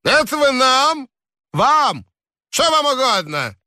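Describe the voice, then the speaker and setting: A man's voice: very high-pitched, big pitch swings, takes it slowly, pushed and bright, dry recording, studio quality, pitched above the performer's own voice, exaggerated voice. A man voicing an indignant male reveler who snaps back at a complaining neighbor with scorn. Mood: scornful. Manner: scornful retorting.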